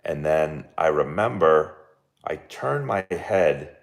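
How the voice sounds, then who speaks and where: A man, hoarse and losing his voice, chatting casually on a live stream about the game, rambling with lots of fillers and restarts. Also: animated, takes it slowly. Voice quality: very deep and gravelly. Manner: rambling, filler-heavy with many likes and restarts. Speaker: baseball coach streaming live.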